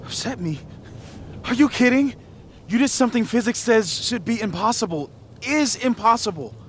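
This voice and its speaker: Skeptical young man, very expressive pitch. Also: normal speed, a little hiss or hum. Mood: stunned, excited. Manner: guarded, wry, swings to emphatic. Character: grieving, skeptical young man, science-minded and intense. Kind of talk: naturalistic video game drama acting.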